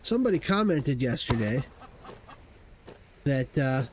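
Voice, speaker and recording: A man's voice: medium pitch, sing-song, unhurried, background noise. A man chatting casually through a game stream, sniffly from hay fever and rambling as he plays. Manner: rambling, talks to himself while playing. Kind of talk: casual gameplay commentary.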